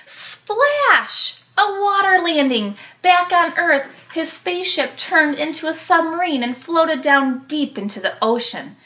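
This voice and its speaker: A woman with a bright, fairly high voice reads a picture book to young children at an unhurried, slow pace. She is animated and leans into dramatic words and sound effects. The recording is a little dull and lo-fi. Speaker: elementary school teacher reading aloud. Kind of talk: expressive children's picture book read-aloud.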